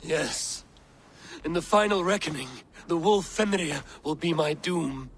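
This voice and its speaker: A man's voice: on the high side, a little slow, a little hiss or hum, natural voice. Man acting a proud, grave Norse god. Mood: grim resolve. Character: proud male Norse god, high lord seeking power, grimly determined. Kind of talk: video game cutscene dramatic acting.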